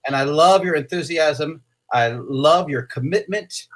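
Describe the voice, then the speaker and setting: A man's voice: on the high side, measured pace. A man hosting a children's program, interviewing his guest in an upbeat, effusive, encouraging way. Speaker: host of a children's story program.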